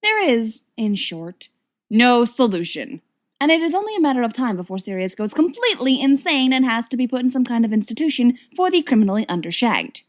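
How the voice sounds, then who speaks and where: A woman's voice: fairly high pitch, very animated, fairly quick. A woman narrating a written story expressively, with wry, dramatic emphasis. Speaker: audiobook reader of fan fiction.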